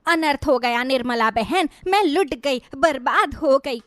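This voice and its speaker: Woman acting a high-voiced, pleading neighbour. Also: expressive, a little slow, fairly bright tone, no room sound, studio quality. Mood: panicked wailing. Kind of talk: moral-story dialogue.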